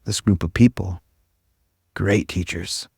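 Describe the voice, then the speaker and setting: Man, lowish voice, meditation teacher, casual group talk, irreverent and self-deprecating, loose rambling delivery, dry close sound. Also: steady tone, slow pace, creaky, darkish tone, breathy edge, no room sound. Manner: irreverent, casual, frequent swearing. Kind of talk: informal spiritual talk to a group.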